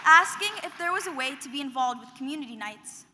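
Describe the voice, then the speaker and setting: A young woman who grew up in Qatar gives an earnest, measured commencement speech as a student speaker at a podium. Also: high voice, animated, background noise. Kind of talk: prepared graduation speech. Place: large commencement hall. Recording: long reverb of a big hall.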